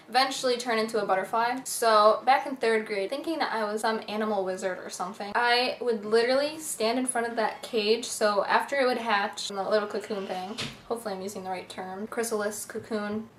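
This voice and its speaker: A woman's voice: a little high, a bit bright. A young woman in college, born in Egypt and raised in the USA, talking casually through a list of facts about herself with lots of "like" and fillers. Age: young adult. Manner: chatty, bubbly, filler-heavy with lots of "like". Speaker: beauty vlogger. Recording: echoey room, faint hiss.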